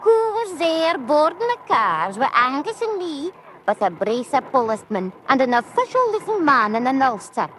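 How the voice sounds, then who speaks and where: An actress plays an excitable Scottish traveller in a radio drama. Her voice is extremely high and swoops widely, over a faint vehicle rumble. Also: moderate pace, poor audio. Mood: gossipy.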